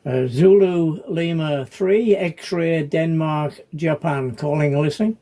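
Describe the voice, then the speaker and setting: A man from New Zealand, an amateur radio operator, talking casually and haltingly as he demonstrates his station. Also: fairly high pitch. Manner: halting, hesitant. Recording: clear radio static and background noise. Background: amateur radio receiver noise.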